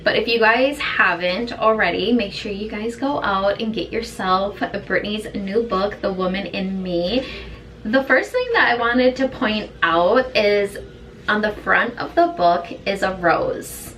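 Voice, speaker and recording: A woman beauty vlogger with a hoarse, raspy voice, chatting in an easygoing, rambling way while doing her makeup at home. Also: mid-range voice, unhurried, fairly bright tone, recorded from a distance, rough recording. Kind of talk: chatty get-ready-with-me talk.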